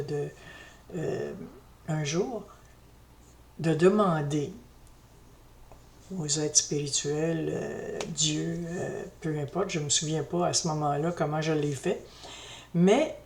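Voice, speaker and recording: Slow-spoken woman, low voice. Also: a little mellow. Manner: slow, reflective, hesitant, with many fillers and restarts. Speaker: spiritual vlogger. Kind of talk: personal storytelling to camera.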